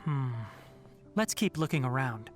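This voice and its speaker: A man playing a composed, thoughtful young scholar in a video game, speaking in a measured, reflective way. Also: medium pitch, animated, over music, close to an everyday voice. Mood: pensive.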